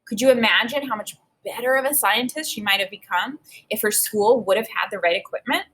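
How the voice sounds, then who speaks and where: A woman teacher reading a children's book aloud and pausing to think aloud about it. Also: fairly high pitch, slightly rough, poor audio. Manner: reads with expressive emphasis, pauses to think aloud. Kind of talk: read-aloud lesson with think-aloud.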